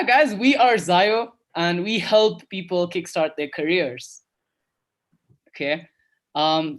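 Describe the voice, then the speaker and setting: A young man raised in Kenya hosting an online coding workshop over a video call, upbeat and chatty with lots of fillers. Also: sits high. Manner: upbeat, filler-heavy, repeats "awesome". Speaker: workshop host. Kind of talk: casual online workshop talk.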